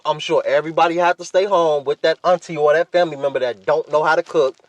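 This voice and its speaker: A man's voice: on the high side, fairly even intonation, fast, no room sound. An American man, youngish, chatting casually on a solo podcast in a laid-back, rambling way with plenty of swearing. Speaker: podcast host.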